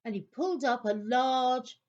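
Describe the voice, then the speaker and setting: A woman narrating a scripted children's story in an expressive, dramatic way. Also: sits high, unhurried, highs cut off.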